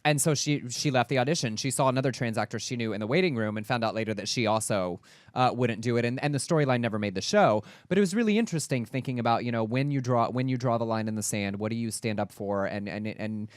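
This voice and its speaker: A man's voice: medium pitch, quick pace, clear, resonant tone, no room sound. A man co-hosting a casual talk show in a mid-range voice. He speaks articulately and reflects as he tells a story. The sound is close and dry. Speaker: co-host. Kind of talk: casual chat.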